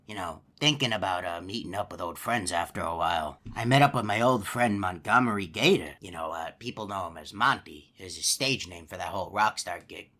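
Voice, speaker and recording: A man's voice: low voice, fairly quick, few pauses, bright, forceful tone. A man voicing a chatty male hippo animatronic who tells a long folksy story, rambling with lots of uh and you know. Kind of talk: game character monologue.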